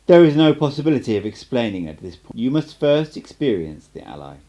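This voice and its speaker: A man's voice: medium pitch, very animated, fairly quick, mellow, voice pushed up. A man voicing an assured, cryptic sorcerer mentor in an audiobook, with an emphatic, instructive manner. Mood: solemn, emphatic.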